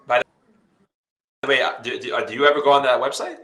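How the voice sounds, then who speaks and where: Man from New York, live co-host reading out chat, bright forceful tone, echoey room. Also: mid-range voice, steady tone, quick pace. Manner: relays viewer messages in a loose, filler-heavy way. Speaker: co-host reading viewer questions.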